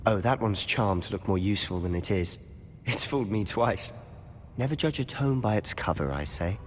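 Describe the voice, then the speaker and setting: A man's voice: lowish voice, animated, moderate pace, a little hiss or hum, lightly acted. A man with a British accent playing a cocky, self-assured teenage wizard schoolboy in a fantasy video game. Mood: wry. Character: cocky, loyal teenage wizard schoolboy, sly rule-breaker. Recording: music behind the voice.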